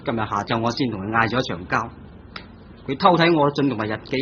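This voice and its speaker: A man's voice: medium pitch, expressive, fast, close to an everyday voice. An actor with a Hong Kong Cantonese accent playing a restless married painter and art teacher in an old radio drama, sighing and grumbling about his wife. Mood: aggrieved. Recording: dull old radio broadcast with faint hiss.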